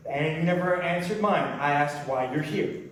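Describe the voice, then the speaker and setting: A mysterious, lonely male stranger, acted by a man in a staged play reading. He flirts in a measured, teasing way. The sound is far from the mic, with heavy room echo. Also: fairly high pitch, lightly acted. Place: large echoey room, recorded from far off. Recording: distant, very echoey room with faint noise.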